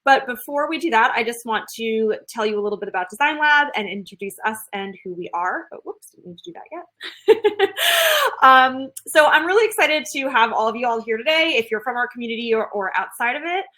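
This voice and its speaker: Young woman hosting a webinar, bright voice, highish, upbeat and giggly. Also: lively intonation, a little fast. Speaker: webinar host. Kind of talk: webinar introduction.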